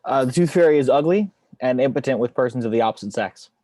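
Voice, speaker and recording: A man's voice: medium pitch, fast, mellow, no room sound, close to an everyday voice. A man playing a weary, sardonic FBI investigator in a screenplay table read. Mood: dry, provoking. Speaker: amateur actor in an online table read. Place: remote video call.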